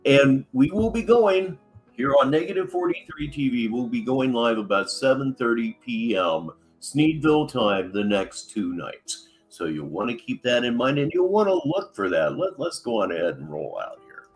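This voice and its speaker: Sarcastic man with a lively, up-and-down voice. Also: medium pitch, moderate pace, faint music behind. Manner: dry, mocking, animated delivery. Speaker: commentary host. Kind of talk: sarcastic reaction commentary.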